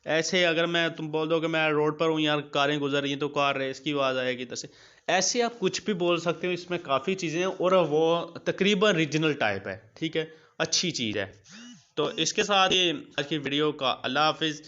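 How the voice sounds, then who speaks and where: Bright-voiced man, casual tutorial, lo-fi sound. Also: fairly high pitch, normal speed. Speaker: YouTube host of app tutorials. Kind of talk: casual tutorial narration with screen walkthrough. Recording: dull lo-fi sound.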